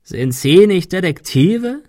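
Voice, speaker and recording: A man voicing a vengeful, haughty villain in an audiobook, with a hoarse, croaking voice and a mocking, condescending manner. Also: sits high, sing-song, takes it slowly, dark tone, dry recording, studio quality, voice pushed up, exaggerated voice. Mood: contemptuous. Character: vengeful old-school murderous castle owner, scornful and haughty.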